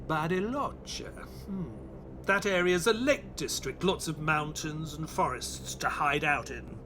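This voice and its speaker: A man's voice: on the high side, a little slow, background noise, natural voice. An actor playing an earnest, weary male companion to a detective, questioning and reflective, in an audio play. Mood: puzzled, curious. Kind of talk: audio play acting and narration.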